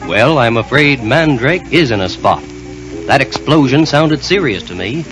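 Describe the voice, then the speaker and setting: A man's voice: mid-range voice, lively intonation, a little slow, music in the background, rough recording. A man announcing a 1940s radio serial in dramatic, scripted, suspense-building style.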